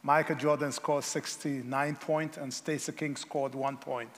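A man, a guest missionary speaker giving a sermon, pausing often with fillers and self-deprecating humor. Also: mid-range voice, level tone, big-room sound, a little hiss or hum. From Africa. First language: Yoruba.

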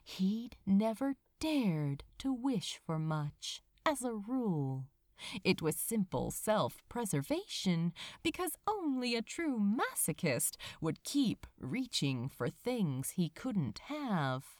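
Slow, very expressive woman reading a story. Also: mid-range voice, dry recording, clean studio sound. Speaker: audiobook-style fan fiction reader. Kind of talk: expressive scripted story narration.

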